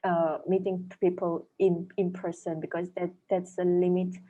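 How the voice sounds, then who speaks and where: Malaysian woman, lowish voice, thoughtful guest. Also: level tone, moderate pace, mellow, highs cut off. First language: Chinese. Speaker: author and dentist guest. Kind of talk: interview answers.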